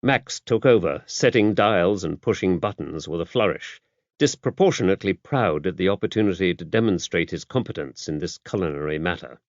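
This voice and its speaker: Lowish-voiced British male audiobook narrator. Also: lively intonation, brisk, dry recording, highs cut off. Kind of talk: scripted narration of a mystery novel.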